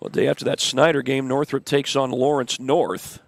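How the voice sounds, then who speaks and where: Congested man calling high school basketball. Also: normal speed, gravelly. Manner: steady, informative play-by-play with stat and schedule asides. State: congested from the flu. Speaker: play-by-play announcer. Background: faint gym ambience, ball bouncing.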